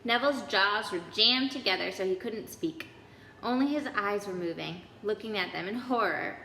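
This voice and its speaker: A woman reading a children's storybook aloud in an expressive narrating style. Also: mid-range voice, moderate pace. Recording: echoey hall, background noise.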